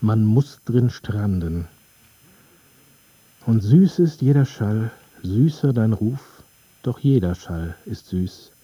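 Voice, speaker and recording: An actor playing a brooding, sardonic narrator in a literary radio play, speaking in terse, dry, deliberate fragments. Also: male voice, fairly low pitch, expressive, mellow, slightly rough, no room sound, muffled recording, heavily acted. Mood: wry, observing.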